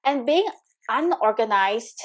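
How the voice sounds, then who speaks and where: Woman, Singaporean accent, money coach, live webinar, chatty, encouraging, somewhat high pitch. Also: lively intonation, takes it slowly, fairly bright tone. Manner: chatty and encouraging, with frequent fillers and laughs. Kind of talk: live webinar, chatting and reading viewer comments.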